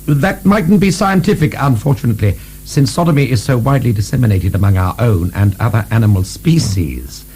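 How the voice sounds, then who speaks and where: An actor playing a provocative aristocratic philosopher in a radio drama, with an urbane, drily ironic, mock-deferential manner. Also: male voice, mid-range voice, lively intonation, brisk, hardly any pauses, mellow, a little hiss or hum, lightly acted. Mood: blandly provocative. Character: provocative male aristocratic libertine philosopher, politely mocking. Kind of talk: British radio drama acting.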